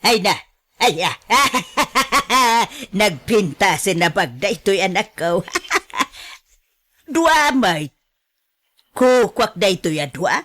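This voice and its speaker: A woman's voice: medium pitch, big pitch swings, drawn-out words, a bit bright, heavily acted. A woman acting a money-minded, indulgent middle-aged mother in a radio drama, chatty and conniving. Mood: greedy, coaxing.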